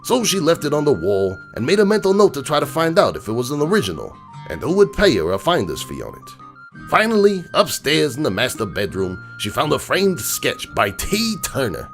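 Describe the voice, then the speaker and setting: A man playing a gruff, streetwise crook horse uncle who tells bedtime stories in a slangy, cynical, digressing way. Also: on the high side, wildly swooping pitch, brisk. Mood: sly. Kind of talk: dramatic reading of a comic bedtime story. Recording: music bed behind the voice.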